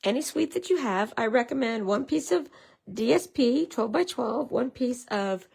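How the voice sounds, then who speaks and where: A woman with a raspy voice from a head cold, chatting casually as she leads a live craft tutorial and greets her viewers. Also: on the high side, lively intonation, moderate pace, darkish tone. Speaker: craft demonstrator.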